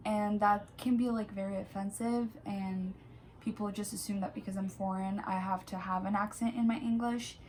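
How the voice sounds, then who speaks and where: A woman's voice: medium pitch, steady tone, moderate pace, darkish tone, a little hiss or hum. Hesitant woman from Brazil. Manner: hesitant, searching for words. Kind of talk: personal account.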